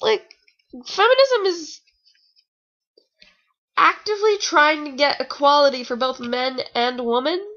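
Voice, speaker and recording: A woman's voice: high-pitched, measured pace, long pauses, fairly bright tone. A young woman reading fan fiction aloud in a casual, informal way, with rambling, sarcastic commentary. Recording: dull lo-fi home recording.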